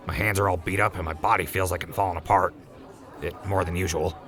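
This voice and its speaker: A laid-back young rancher chats in a fairly low but bright, forward voice, as a man plays him. He talks quickly and jokes easily, in a fan audio drama. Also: fairly even intonation, some chatter behind. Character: easygoing young male wasteland rancher.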